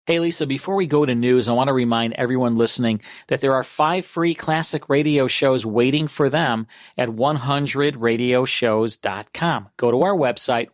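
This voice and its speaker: A man's voice: medium pitch, no echo, clean studio sound. A man presenting a classic radio show, moving between announcements and casual banter. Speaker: radio host. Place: dry radio studio.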